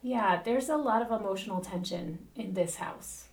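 Creaky-voiced woman hosting, roomy sound. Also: medium pitch, normal speed, darkish tone. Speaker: podcast host. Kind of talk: conversational book discussion. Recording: roomy, distant from the mic.

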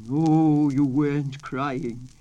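A woman voicing a sly, hungry male coyote with a barking voice, speaking slowly and loudly. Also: deep voice, steady tone, lo-fi sound. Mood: menacing.

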